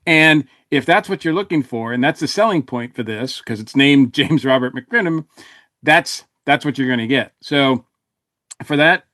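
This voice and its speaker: A man with a halting, circling way of talking, giving his take as a panelist in a casual review. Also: mid-range voice, bright, forceful tone, no room sound, clean studio sound. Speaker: podcast panelist reviewing audio drama.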